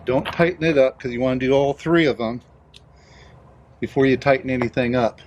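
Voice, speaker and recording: A man's voice: mid-range voice, brisk, a little mellow, slight background noise. A man casually narrating a hands-on DIY installation, explaining it plainly step by step.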